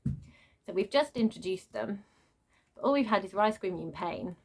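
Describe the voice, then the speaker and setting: A woman's voice: medium pitch, animated, moderate pace, a little mellow, husky. Woman in an exasperated, casual book rant. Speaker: book reviewer. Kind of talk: unscripted book rant, casual vlog chat.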